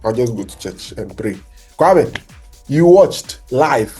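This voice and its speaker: Mellow, husky man hosting with excitement. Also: medium pitch, soft background music. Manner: excitable and animated, quick to laugh. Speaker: podcast host. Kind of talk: casual football chat.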